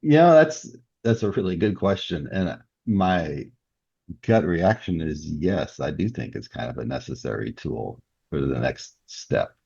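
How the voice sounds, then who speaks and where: Really deep gravelly guy explaining stuff casually as a podcast guest, slightly muffled recording. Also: very animated, measured pace, darkish tone, dry recording. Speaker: interview guest, author. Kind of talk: conversational interview answers.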